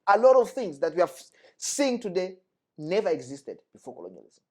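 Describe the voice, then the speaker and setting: A man's voice: sits high, sing-song, a little fast. Passionate Congolese-born man, emphatic. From Democratic Republic of Congo. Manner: passionate, emphatic, repeats key phrases. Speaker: guest. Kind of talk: earnest discussion.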